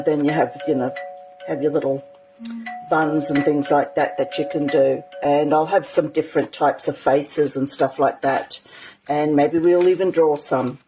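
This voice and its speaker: A woman teaching a live art journaling session in a casual, rambling way, with many ums and a slightly croaky voice. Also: low-pitched, poor audio. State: croaky, clearing her throat. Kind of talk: casual live craft demonstration.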